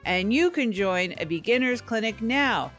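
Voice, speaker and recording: A woman's voice: fairly high pitch, expressive, unhurried, fairly bright tone, soft background music. Woman reading an upbeat community radio ad. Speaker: advert announcer. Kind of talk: upbeat scripted radio ad read.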